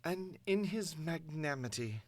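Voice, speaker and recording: A man voicing a cold, calculating, sophisticated mastermind with a British accent, delivering a villain monologue in a measured, grandiose manner. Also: on the high side, expressive, no room sound, pitched above the performer's own voice. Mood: cold, imperious.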